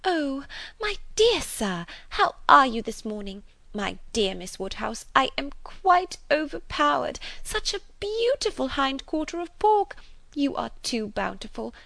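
A woman's voice: sits high, sing-song, unhurried, vocal fry, sharp tone, no room sound, pitched above the performer's own voice, exaggerated voice. A woman voicing a garrulous, good-hearted older spinster in an audiobook, gushing and rambling in a flustered, breathless way. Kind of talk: audiobook character voice.

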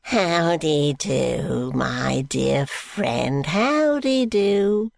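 A reader voicing a frail, wheezing old man in a storybook, slow and fawning. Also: medium pitch, animated, vocal fry, no room sound, studio quality, heavily acted. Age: over 80. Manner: fawning, ingratiating.

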